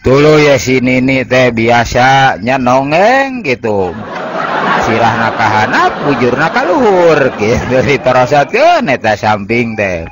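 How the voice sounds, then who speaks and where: A man telling a comic village folk tale in an animated, chuckling way as a storyteller, over a noisy recording. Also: mid-range voice, slow pace.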